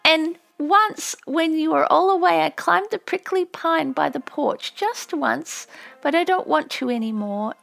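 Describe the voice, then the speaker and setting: A woman's voice: sits high, unhurried, creaky, fairly bright tone, voice pushed up, full character voice. A woman voicing a wistful, homesick young girl in an audiobook, earnest in manner. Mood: brave, earnest. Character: wistful young American-raised Japanese girl, bravely homesick. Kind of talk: audiobook character voice.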